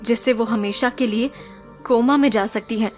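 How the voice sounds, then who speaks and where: An actress playing a grave, composed doctor in an audio drama, explaining things in a measured way. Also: female voice, a little high, steady tone, a little mellow, soft background music, close to an everyday voice.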